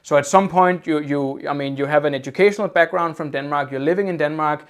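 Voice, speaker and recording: A man's voice: on the high side, fairly quick, clean tone. A man hosting a casual interview over a video call, often repeating his opening words before talking on fluently. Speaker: podcast host.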